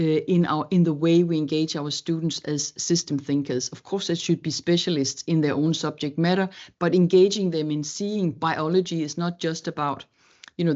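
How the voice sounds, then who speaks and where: A woman's voice: deep voice, warm tone, highs cut off. A woman from Denmark, speaking as a panelist in an academic webinar in an earnest, reflective way, searching for words.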